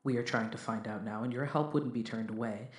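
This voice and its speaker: A man's voice: medium pitch, fairly even intonation, a little fast, reverberant, natural voice. A man playing a composed, literal-minded spaceship crewman in an audio drama, speaking formally and precisely. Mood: matter-of-fact. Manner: formal, precise, matter-of-fact.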